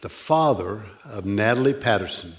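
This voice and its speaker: An older man giving a sermon as a guest preacher, speaking with a stutter, frequent pauses and restarts, and dry self-deprecating humor. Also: lowish voice, expressive, very noisy. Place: church sanctuary.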